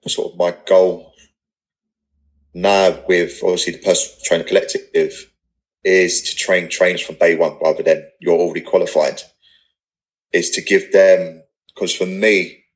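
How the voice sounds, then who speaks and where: Male podcast guest, 30s, low voice, everyday non-posh English accent, earnest and blunt, loose conversational delivery. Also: little pitch movement, normal speed, poor audio. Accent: English, not posh. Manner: earnest, rambling, blunt with swearing. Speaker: podcast guest, personal trainer business owner. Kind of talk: casual podcast interview, candid talk.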